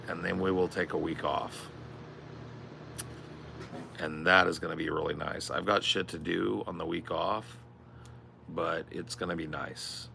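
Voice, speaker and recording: A man with a strained, raspy voice chatting casually on a stream, rambling and swearing a lot. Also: low voice, noisy background. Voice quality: gravelly. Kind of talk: casual chat.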